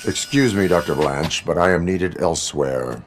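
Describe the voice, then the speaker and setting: A man playing a stoic cyborg police officer in a video game, speaking formally in stiff, deadpan, clipped phrases. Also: low-pitched, normal speed, background noise, lightly acted. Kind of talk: video game cutscene acting.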